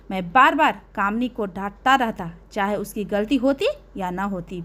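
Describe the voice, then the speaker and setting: High-pitched woman narrating an emotional story. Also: a bit bright. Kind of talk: dramatic first-person audio story narration.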